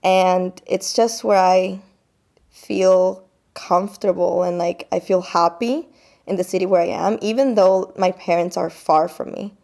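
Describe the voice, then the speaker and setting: A woman's voice: on the low side, fairly even intonation, measured pace, gravelly, mellow. A young woman from Colombia speaking casually and reflectively in an interview, with many fillers.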